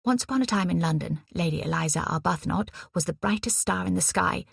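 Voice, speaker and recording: A woman voicing a bubbly, cheeky young wartime nurse who talks briskly and teases her friend. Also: lowish voice, gravelly, husky, dry recording, studio quality, exaggerated voice. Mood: teasing. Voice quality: brisk, teasing, exuberant.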